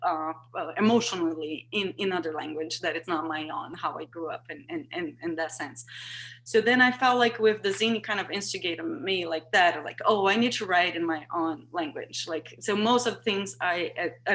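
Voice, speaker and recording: Portuguese-native woman on a video call, lowish voice, lots of restarts and searching for words. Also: a bit bright, slight background noise, rough recording. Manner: hesitant, restarting often while searching for words. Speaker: workshop participant. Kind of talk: casual discussion on a video call. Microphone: video call microphone.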